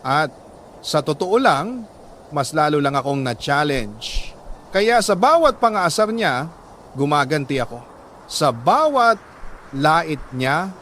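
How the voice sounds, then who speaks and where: A Filipino man with a fairly high voice narrates a first-person drama in an earnest, measured way. He leaves dramatic pauses between short phrases. There is some noisy ambience behind his voice. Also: a bit bright. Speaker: radio-style storyteller reading a letter sender's love story.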